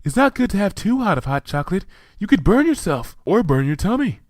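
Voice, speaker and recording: A man's voice: high-pitched, sing-song, a little fast, no room sound, studio quality, pitched above the performer's own voice. A man voicing a shy, fretful female pegasus who speaks timidly and hesitantly.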